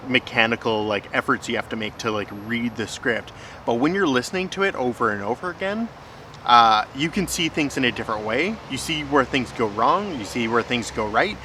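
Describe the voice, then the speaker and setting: A man with a bright, forward voice at a middle pitch talks casually as a vlog host. He explains in a conversational way, with faint city noise behind him. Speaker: host, filmmaker.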